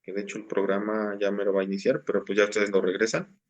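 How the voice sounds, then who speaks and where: A man's voice: on the low side, flat delivery, fast. A man, patiently explaining assignments to his class over a video call. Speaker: teacher. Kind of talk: online class instruction.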